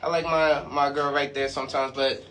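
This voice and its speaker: A man's voice: a little high, flat delivery, normal speed. A man speaking as a guest artist in a casual, conversational radio interview. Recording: clear background noise and ambience.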